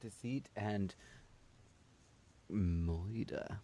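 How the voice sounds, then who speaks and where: A man's voice: on the low side, drawn-out words, warm tone. A man hosting a book show, chatting casually with guests on a live stream. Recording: some background noise.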